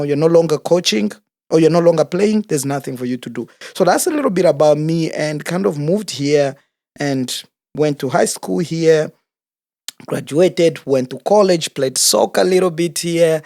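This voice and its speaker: A man's voice: fairly high pitch, gravelly, a little mellow, dry recording, clean studio sound. A man in his thirties, originally from Congo, speaking as a soccer coach and organizer in a casual interview, telling his story earnestly and without hurry. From Democratic Republic of the Congo. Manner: earnest, unhurried storytelling with fillers.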